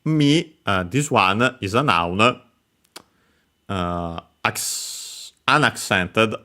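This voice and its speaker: A man explaining Japanese grammar, pausing often with filler sounds as he works through each word. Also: fairly low pitch, expressive, bright, forceful tone. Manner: hesitant, many filler sounds, careful explanation. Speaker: Japanese language teacher. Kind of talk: explaining grammar over gameplay.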